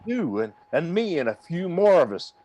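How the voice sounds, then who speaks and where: A man's voice: on the high side, sing-song, normal speed, darkish tone. A man playing a fanatical artilleryman survivor with grandiose dreams of power, in a rambling radio play reading. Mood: grandiose, excited.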